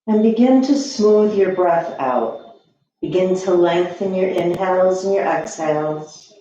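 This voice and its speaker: A woman leads a yoga class in a mellow, soft-edged voice. She speaks slowly, giving gentle, soothing cues and leaving long pauses between them. The sound is very echoey, as in a reverberant room. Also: lowish voice, lo-fi sound. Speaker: yoga teacher. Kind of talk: guided yoga and meditation instruction.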